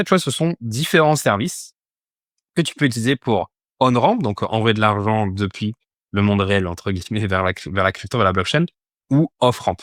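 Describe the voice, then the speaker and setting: A man's voice: medium pitch, a bit bright, no echo, clean studio sound. A man giving an informal step-by-step tutorial as an instructor, explaining things and often posing questions that he then answers. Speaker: instructor teaching a crypto finance course.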